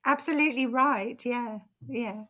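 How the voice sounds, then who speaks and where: A middle-aged English woman, a poet, talking thoughtfully in an interview, her phrases trailing off softly. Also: a little high, measured pace. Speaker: poet and guest. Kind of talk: conversational interview.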